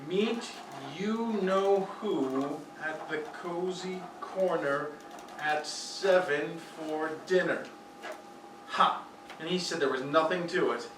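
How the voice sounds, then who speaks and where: A woman's voice: low-pitched, takes it slowly. An actress playing a woman in an old-time radio comedy. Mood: brisk, theatrical. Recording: echoey live stage, distant, noisy.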